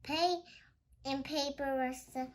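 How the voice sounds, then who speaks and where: A child with a high voice reads a holiday picture book aloud. The reading is slow and halting, with stumbles over the words. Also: steady tone.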